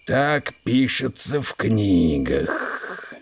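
An actor playing a stubborn, eccentric old uncle in an audio drama, with a raspy voice and theatrical, exclamatory delivery. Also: male voice, lowish voice, sing-song, drawn-out words, slight background noise, heavily acted. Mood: scornful. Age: older. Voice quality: raspy, creaky. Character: stubborn, cantankerous old eccentric uncle who disbelieves everything. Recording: studio audio drama with music.

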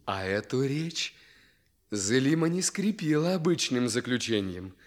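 An actor playing a proud, lovestruck young prince in a lofty, declamatory radio drama style. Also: male voice, medium pitch, very animated. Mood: proud, resolute. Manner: lofty, declamatory, drawn-out phrasing. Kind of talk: theatrical radio drama acting.

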